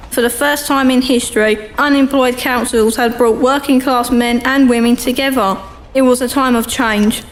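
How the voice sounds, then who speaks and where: Casting: female narrator for amateur radio drama, very high voice, faint noise behind. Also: poor audio. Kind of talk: scripted radio play narration.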